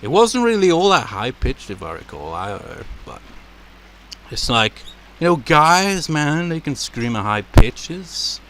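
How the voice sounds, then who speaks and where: A man with a deep voice giving casual, rambling commentary as a let's play host, laughing and swearing as he goes. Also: sing-song, measured pace, a bit bright, slight background noise. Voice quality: naturally deep voice.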